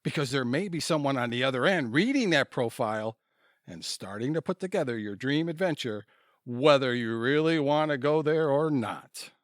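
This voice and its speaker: A man's voice: mid-range voice, expressive, moderate pace, dry recording, clean studio sound. A man reading scripted comedic narration for an audio play, in the manner of an announcer.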